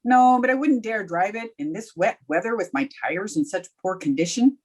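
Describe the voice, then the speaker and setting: A sharp, wry female English teacher, played by an actress in an old-time radio comedy. Her delivery is highly animated, swinging up and down in pitch as she lands dry, sardonic quips. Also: normal speed, lightly acted. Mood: brisk, wisecracking. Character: wry, quick-witted female high school English teacher.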